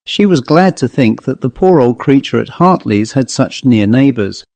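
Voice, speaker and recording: A male audiobook narrator reads a literary ghost story. His voice sits at a middle pitch, and the recording is close and dry, with no room around it. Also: animated, moderate pace, creaky, a little mellow, no echo.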